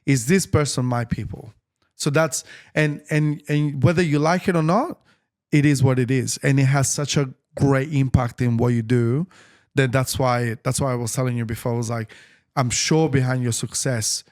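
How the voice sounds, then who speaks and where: Man, Italian accent, English not his first language, casual interview, rambling, restarts, animated. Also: medium pitch, moderate pace. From Italy. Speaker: tailor being interviewed.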